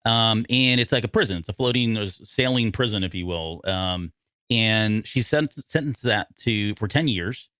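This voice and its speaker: A man's voice: lowish voice, normal speed, fairly bright tone, smooth, dry recording. A man co-hosting a podcast, talking through a story casually and often correcting himself as he explains. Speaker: podcast co-host. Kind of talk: casual discussion of an audio drama.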